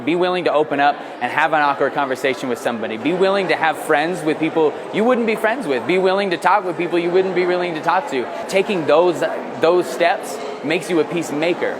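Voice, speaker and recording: A man's voice: a little high, brisk, hardly any pauses, noisy background. A young man attending a conference, answering an interview in an earnest way and filling gaps with "like" and "uh". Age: young adult. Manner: earnest, with fillers like "like" and "uh".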